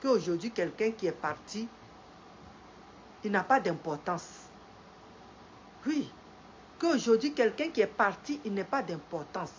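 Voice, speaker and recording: A woman's voice: mid-range voice, expressive, a little slow, pauses often, slight background noise. A middle-aged woman with a head cold, hosting a live stream, greeting viewers and lecturing with lots of repetition. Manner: repeats phrases, rising emphatic when preaching. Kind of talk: slow moralizing live-stream talk. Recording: dull lo-fi stream audio with faint ambience.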